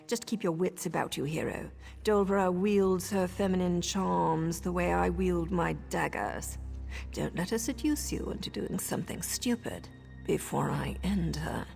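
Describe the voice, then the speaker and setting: A woman's voice: fairly low pitch, unhurried, gravelly, darkish tone, in a large hall. A woman playing a sardonic, impatient assassin in a video game, with a wry, threatening delivery. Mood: wry menace. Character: sardonic, impatient female assassin, deadly and businesslike. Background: game music.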